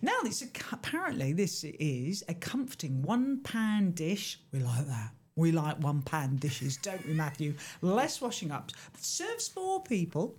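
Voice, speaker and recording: A woman's voice: fairly low pitch, big pitch swings, a little slow, few pauses, a little mellow, breathy edge. A woman radio presenter, chatting playfully in the studio while reading out a recipe. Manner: chatty and playful, laughs often.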